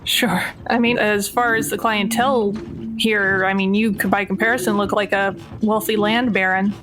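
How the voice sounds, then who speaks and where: A woman's voice: mid-range voice, steady tone, normal speed. A woman playing a tabletop roleplaying game, chatting casually as she describes what her character does. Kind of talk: casual actual play chat.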